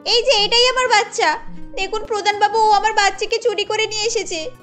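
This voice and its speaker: A woman's voice: extremely high pitch, steady tone, brisk, fairly bright tone, faint music behind, voice pushed up. A woman voicing a devoted young mother in a Bengali cartoon, speaking in a tender, emotional way. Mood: earnest.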